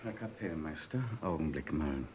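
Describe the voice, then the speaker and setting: A man's voice: very deep voice, animated, normal speed, background noise. A man playing a police detective in a radio crime drama, controlled and commanding. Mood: curt, commanding. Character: curt, commanding police detective, courteous to elders.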